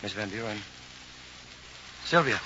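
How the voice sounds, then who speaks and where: A man playing a calm, brave, practical scientist in a 1950s radio drama, earnest and emphatic in his delivery. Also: lowish voice, a little slow. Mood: easygoing, practical. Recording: noisy, dull vintage radio recording from vinyl.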